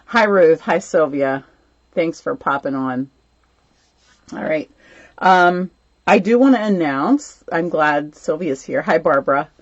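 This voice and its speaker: A woman's voice: sits low, expressive, slow pace, pauses often, no room sound, lo-fi sound. A woman hosting a live stamping class from home, chatting casually with viewers and showing craft supplies, her voice a little worn as she says she is losing it. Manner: chatty, greets viewers by name, many ums. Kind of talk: casual live-stream chat and craft demo.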